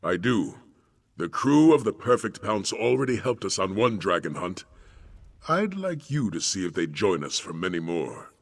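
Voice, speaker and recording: An actor playing a steadfast, pragmatic dragon hunter in a video game, with a formal, earnest delivery. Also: male voice, deep voice, sing-song, moderate pace, heavily acted. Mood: earnest, determined. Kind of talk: dramatic video game dialogue.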